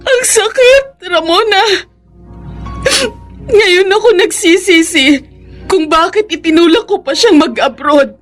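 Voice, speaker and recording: A regretful Filipino wife and mother in a radio drama. Her high voice swings widely as she pleads earnestly, full of hurt. Light music plays behind her. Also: animated, slow, long pauses, exaggerated voice. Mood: heartbroken.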